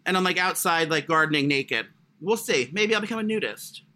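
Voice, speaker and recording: A man with a high voice chatting as a podcast co-host, crude, rambling and self-mocking. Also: lively intonation, brisk, sharp tone. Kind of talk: casual chat.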